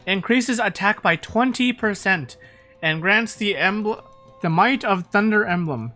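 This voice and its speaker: A woman giving casual, excitable live commentary as she streams a game, playfully reacting. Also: mid-range voice, a little slow, faint music behind.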